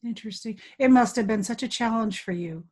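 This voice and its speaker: Soft-spoken woman interviewing. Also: a little high, brisk. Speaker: podcast host. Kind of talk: conversational interviewing.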